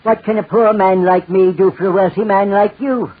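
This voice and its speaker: A poor nervous locksmith in a 40s radio drama, high pitched, humble, sounds like down a phone line. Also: male voice, steady tone, moderate pace. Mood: puzzled. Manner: deferential, bewildered. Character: humble, flustered poor male French locksmith. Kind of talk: 1940s radio acting. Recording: tinny 1940s radio recording.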